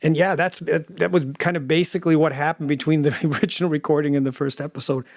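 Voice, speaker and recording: A man with a fairly high voice talks through his production work in an interview. He explains step by step, sometimes doubling back on words. The sound is very dry and close, with no room around it. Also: steady tone, fast talker, gravelly, a little mellow, no room sound. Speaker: audio drama producer and sound designer. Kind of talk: interview recollection.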